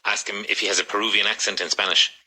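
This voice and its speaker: An Irish man running a radio English class, briskly drilling and correcting his students. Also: lowish voice, level tone, sharp tone, low-quality recording. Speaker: English teacher and radio host.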